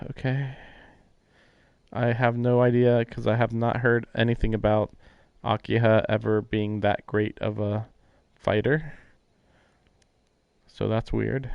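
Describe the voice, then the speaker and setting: A man's voice: lowish voice, fairly even intonation, slow, gravelly, long pauses, clear, resonant tone, dry recording, lo-fi sound. A man doing casual livestream commentary and reading aloud, puzzled and musing as he goes. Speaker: livestreamer playing a visual novel. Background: game music.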